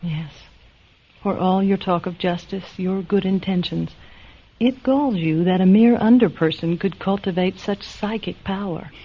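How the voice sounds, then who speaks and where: An actress playing a determined underclass woman in a radio drama, formal and restrained yet quietly defiant. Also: mid-range voice, measured pace, husky. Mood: quietly challenging. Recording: dull radio recording, faint music.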